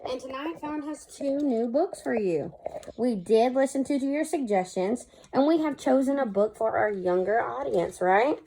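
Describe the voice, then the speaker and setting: A woman's voice: sits high, moderate pace, dark tone. A woman co-hosting a children's story time, casually introducing books and prompting the reader, on a noisy recording.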